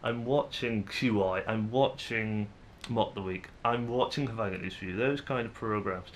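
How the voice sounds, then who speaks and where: Northern english guy in his 20s rambling at the camera, kinda low voice, slight rumble behind. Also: moderate pace. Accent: northern English, Manchester area. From northern England. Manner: rambling, self-deprecating, frequent restarts. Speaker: vlogger. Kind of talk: casual monologue to camera.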